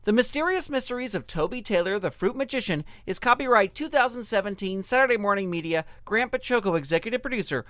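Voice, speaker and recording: Guy announcer reading out show credits, upbeat, bouncy pitch, really dry close sound. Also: expressive, fast talker, hardly any pauses, a bit bright, no echo.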